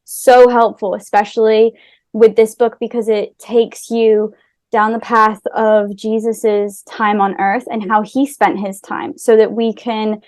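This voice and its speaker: A woman's voice: on the high side, flat delivery, unhurried, a little mellow, no echo, clean studio sound. A woman with an American accent, raised in America after an early childhood in Wales, talking earnestly as a podcast guest. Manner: earnest, with small restarts. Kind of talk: casual conversation. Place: Israel.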